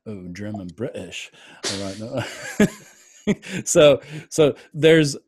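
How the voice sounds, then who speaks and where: A man's voice: fairly low pitch, lively intonation, slow pace, a little mellow, a little hiss or hum. A man hosting an interview, asking loosely phrased, musing questions. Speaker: podcast host. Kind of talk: interviewing a guest.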